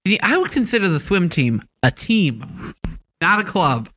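A man's voice: high voice, big pitch swings, measured pace, fairly bright tone, a little hiss or hum. Playful, bouncy-voiced male co-host. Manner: playful, boastful joker. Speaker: student podcast co-host. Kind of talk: casual chat.

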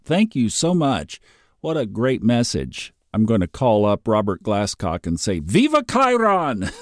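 An upbeat man in his fifties talks through his daily astrology podcast in an easy, chatty way. His voice sits at a middle pitch, and it is recorded close and dry with no room sound. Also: darkish tone, no room sound. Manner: chatty, upbeat, laughs easily. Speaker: podcast host.

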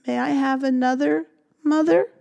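A woman voicing a crying baby boy mouse in a storybook reading, with a shrill wailing manner. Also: fairly even intonation, moderate pace, vocal fry, warm tone, highs cut off. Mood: sweet.

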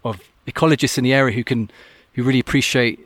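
A man's voice: mid-range voice, fast, a bit bright, no room sound. A man who works as an open-water swim coach, talking earnestly and thoughtfully in a casual podcast interview, often restarting his sentences. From Scotland.

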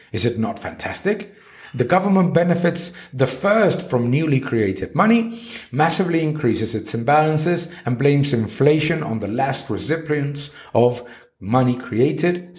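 A man's voice: mid-range voice, a little mellow. A man reading an opinion article on economics aloud in an emphatic, argumentative manner. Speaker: article narrator.

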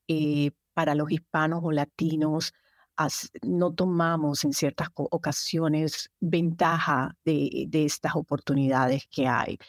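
Woman, 50s, from Colombia, low voice, dry close mic, thoughtful interview guest. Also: a little mellow, dry recording. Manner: measured, thoughtful, with frequent hesitations. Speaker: guest, immigration agency director. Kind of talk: interview answers.

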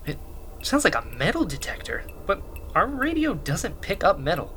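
An actor playing a naive, gentle young medic in a sci-fi radio drama, full of innocent, curious questions. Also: mid-range voice, huge pitch swings, normal speed, a little hiss or hum. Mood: puzzled.

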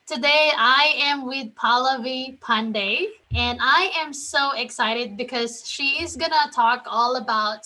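A woman's voice: high voice, pushed and bright, low-quality recording. A woman from the Philippines hosting a podcast, chatting in an upbeat, friendly way and laughing easily. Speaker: podcast host. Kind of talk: casual podcast interview.

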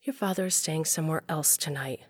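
A middle-aged mother tells her own story in an audio drama. Her voice is notably low for a woman. She is wry, bruised and confessional. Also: fairly quick, slightly rough. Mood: hurt. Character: wry, shaken middle-aged mother and scientist, just left by husband. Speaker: narrator and lead character. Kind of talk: first-person audio drama narration and dialogue.

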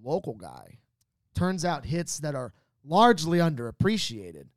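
A man's voice: a little high, sing-song, unhurried, dry recording, clean studio sound. A man co-hosting a casual panel show, teasing the others and using mock-grand phrasing. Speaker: podcast panelist and co-host. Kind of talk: casual banter.

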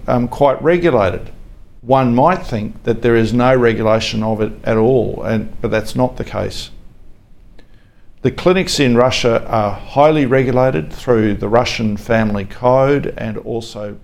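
An Australian man hosting a podcast as a lawyer, explaining informally in a halting, measured way with frequent pauses. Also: medium pitch, gravelly, darkish tone. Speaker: podcast host, family and fertility lawyer. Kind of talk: informal explanatory monologue with anecdotes.

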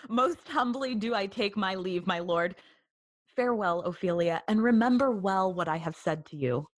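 A woman's voice: mid-range voice, a little slow, natural voice. A woman playing a spirited, protective young nobleman and dutiful son in a classical Shakespeare audio play, delivering formal verse with animation. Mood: brisk, affectionate.

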